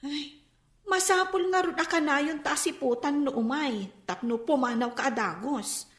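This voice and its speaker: An actress playing a young, caring and concerned cousin in a radio drama, animated and sympathetic. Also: female voice, high voice, normal speed. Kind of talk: Filipino radio drama acting. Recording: echoey radio drama studio, faint music behind.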